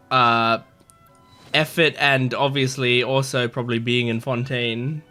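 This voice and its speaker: A man giving casual, chatty and excitable commentary as he streams a video game. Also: medium pitch.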